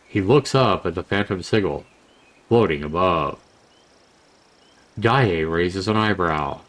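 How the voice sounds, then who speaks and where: A man with a fairly low voice narrates a visual novel. His delivery is very slow and measured, like a dramatic storyteller reading text aloud. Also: lively intonation, a bit bright. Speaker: game reviewer narrating a visual novel playthrough.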